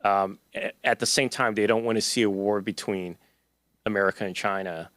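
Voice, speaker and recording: A man's voice: fairly low pitch, fairly even intonation, normal speed, a bit bright, no room sound. A man, an author, answering audience questions at a book talk in a loose, conversational way with many fillers and restarts. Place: event hall.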